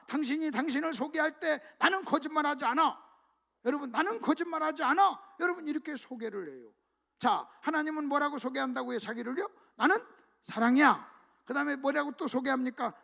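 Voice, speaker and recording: High gravelly-voiced pastor guy, preaching hard, keeps calling out to the crowd. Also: a bit bright. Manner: emphatic preaching, repeatedly addressing the congregation. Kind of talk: revival sermon.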